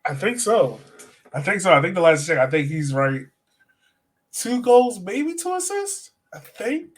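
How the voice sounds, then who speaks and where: A man's voice: sits high, sing-song, unhurried. A man hosting a sports podcast in casual chat, with a colloquial, buddy-to-buddy way of talking.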